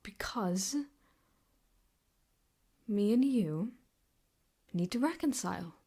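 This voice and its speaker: A woman playing an obsessive, possessive yandere ex-girlfriend in an improvised ASMR roleplay, speaking intimately and haltingly, often slipping into whispers. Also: medium pitch, expressive, warm tone, husky, exaggerated voice. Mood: coaxing, possessive. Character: obsessive, possessive yandere ex-girlfriend holding her captive lover.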